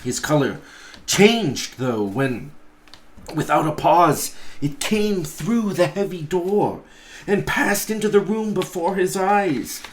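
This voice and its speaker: Man, mid-low voice, very slow pace, dramatic storybook narration, deliberate pauses. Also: expressive. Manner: deliberate, theatrical phrasing with pauses. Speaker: pastor reading a story aloud.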